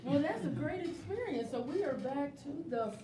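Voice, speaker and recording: A woman hosting a casual live interview, encouraging and quick to affirm what people say, promoting as she goes. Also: expressive, a loud crowd. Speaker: live show host interviewing a play's cast. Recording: echoey noisy room, distant mic, low rumble.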